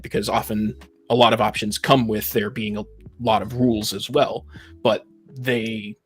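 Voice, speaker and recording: A man's voice: fairly low pitch, fairly bright tone. A man in a casual discussion, explaining things in an analytical, precise and somewhat argumentative way. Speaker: panelist in a tabletop gaming discussion.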